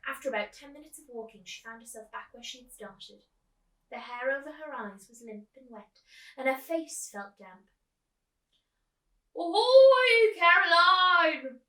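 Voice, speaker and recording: A woman's voice: on the high side, moderate pace, a bit bright, recorded from a distance. A woman reading a children's book aloud in a storytime style. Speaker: storytime reader, teacher. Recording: echoey room, away from the mic.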